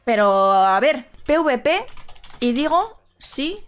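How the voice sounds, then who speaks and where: A young woman from Madrid with a Madrid Spanish accent, streaming from home and reading chat messages aloud with animated, sarcastic commentary. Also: high voice, slow pace, faint music behind. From Spain. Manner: animated, sarcastic, often shrieks and squeals.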